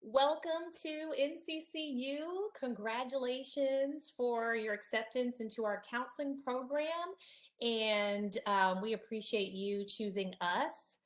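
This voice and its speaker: Woman, professor, soft-spoken welcome, slightly high voice. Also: slow. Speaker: counseling professor. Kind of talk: brief welcome speech. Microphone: video call.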